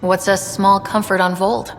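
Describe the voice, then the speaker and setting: A woman's voice: medium pitch, flat delivery, unhurried, in a large hall, slight background noise, natural voice. An actress playing a young woman explorer in a video game, curious and helpful, speaking in a direct, inquisitive way. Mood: curious, friendly. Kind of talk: video game dialogue acting.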